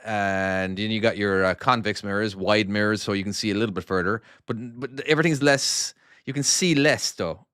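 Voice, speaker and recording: A man's voice: lowish voice, moderate pace, a bit bright, clean tone, dry recording, clean studio sound. An Irish man who makes trucking games, chatting casually and self-deprecatingly on a live stream while playing and answering chat. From Ireland. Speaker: game developer streaming his own game.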